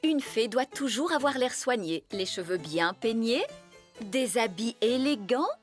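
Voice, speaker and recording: A bossy cartoon fairy governess with a high, very animated voice. She lectures pompously and fussily, sounding prim and self-important, with music behind her. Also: a little slow. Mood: stern, lecturing. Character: prim, bossy, haughty nanny fairy teacher. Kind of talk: French-language cartoon dubbing.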